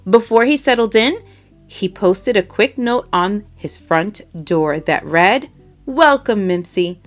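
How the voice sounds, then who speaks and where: A woman reading a children's storybook aloud in an expressive storytelling manner for young listeners. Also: medium pitch. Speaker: storybook reader.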